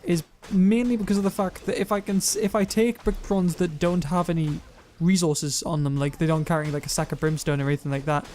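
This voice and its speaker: A young man with a self-described monotone voice, rambling through gameplay commentary and viewer comments, often correcting himself mid-sentence. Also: on the high side, fairly quick, hardly any pauses, slight background noise. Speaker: gaming YouTuber.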